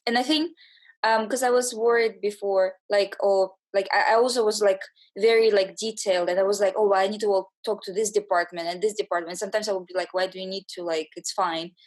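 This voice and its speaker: A woman in casual conversation, speaking in a halting way and acting out short quotes as she goes. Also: medium pitch, fairly even intonation. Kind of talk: casual chat.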